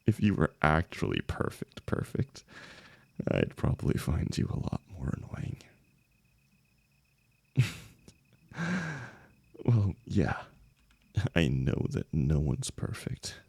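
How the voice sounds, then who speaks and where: A man acting a lovelorn young heartbreaker in an intimate, emotional audio roleplay, speaking haltingly and rawly to a longtime friend. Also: very deep voice, wildly swooping pitch, creaky, darkish tone, slightly rough, no echo, full character voice. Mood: tender, vulnerable. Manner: halting, stammering, swearing, emotionally raw.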